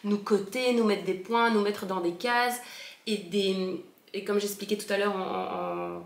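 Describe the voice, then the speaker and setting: A woman fondly recalling her school years in a relaxed chat, often pausing and restarting her sentences. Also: medium pitch. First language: French. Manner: hesitant, many fillers and restarts. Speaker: former Steiner school pupil. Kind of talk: casual recollection. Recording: echoey room.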